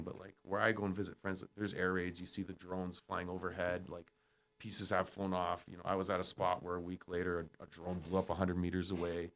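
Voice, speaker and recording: A man from Canada whose first language is Ukrainian, hosting a podcast in blunt, profane casual chat that swings between loud and quiet. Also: sits low. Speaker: podcast host.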